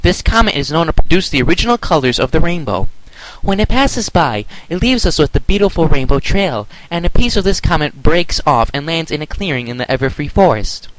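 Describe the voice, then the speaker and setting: A man voicing an eager young female unicorn pony in a high falsetto. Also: very animated, fairly quick, a bit bright, no room sound, rough recording. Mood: explaining.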